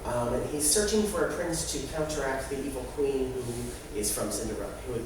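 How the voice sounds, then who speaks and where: Very echoey, distant young man presenting. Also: medium pitch. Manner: halting, false starts and repeated phrases. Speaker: student presenter. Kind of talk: informal class presentation. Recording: very echoey, noisy room from afar.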